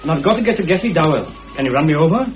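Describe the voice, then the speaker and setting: Testy, fussing husband played by a man, lively and high-pitched. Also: rapid-fire, soft background music, natural voice. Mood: testy, hurried. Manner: testy, exasperated. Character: fussy, impatient husband hurrying out for the evening. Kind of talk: radio drama acting. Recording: dull old radio recording.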